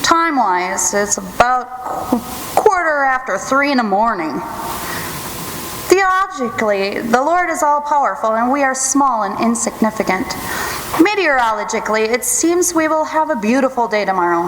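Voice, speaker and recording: In a reverberant, noisy hall, a woman plays a clueless cowboy hero for a joke. The voice is high, with pompous, long-winded phrasing. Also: lively intonation, normal speed, fairly bright tone. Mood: pompous, musing. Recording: echoey hall, clear noise, live.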